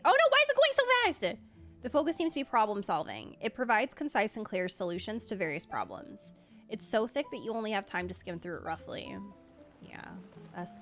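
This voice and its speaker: A woman's voice: medium pitch, fairly bright tone. A woman streaming a video game with casual, playful, wry commentary. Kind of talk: casual livestream gameplay commentary. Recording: faint game music behind the voice.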